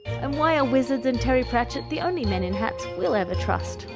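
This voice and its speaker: A woman's voice: on the high side, expressive, brisk, over music. Woman hosting a comedy podcast. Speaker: podcast host. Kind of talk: casual podcast chat and scripted promo.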